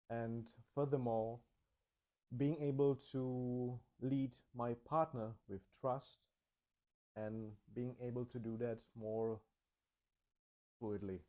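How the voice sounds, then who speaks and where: Guy, english, calm reflective narration, really unhurried, low-key mellow tone. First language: Czech. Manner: slow, measured, thoughtful delivery. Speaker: channel host.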